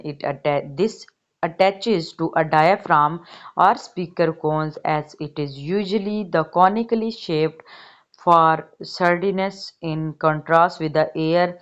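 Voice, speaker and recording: A woman reading an encyclopedia article aloud, haltingly, stumbling over words and repeating them. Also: low-pitched. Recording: dull, lo-fi recording.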